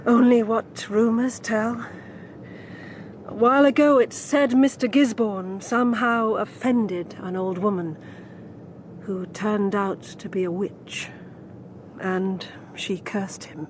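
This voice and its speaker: An actress playing a wary, sorrowful countrywoman in a period audio drama, grave and measured. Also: mid-range voice, fairly even intonation, a little hiss or hum, lightly acted.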